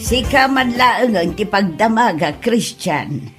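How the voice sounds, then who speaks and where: A woman's voice: medium pitch, expressive, slow, over loud music. A woman with an Ilocano Filipino accent playing a stern, disapproving mother in a comedy audio drama. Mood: indignant.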